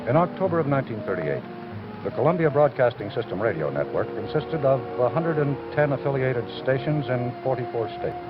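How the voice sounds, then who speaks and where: Grave narrator, male actor, documentary style, expressive pitch, dull lo-fi soundtrack. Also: brisk, lightly acted. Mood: solemn. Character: grave male narrator of a true historical account. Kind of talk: solemn scripted documentary narration. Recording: dull lo-fi old television audio, music behind.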